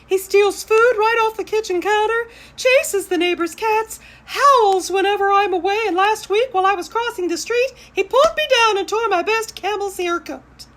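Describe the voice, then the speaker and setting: A woman putting on a shrill, fretful dog owner. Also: normal speed, few pauses, a bit bright. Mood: exasperated. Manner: shrill, near tears.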